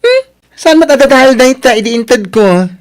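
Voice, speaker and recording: An actor playing a brazen, evasive, flamboyant borrower in an Ilocano radio drama, dismissive and quick to exclaim indignantly. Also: male voice, very high-pitched, expressive. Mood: defiant.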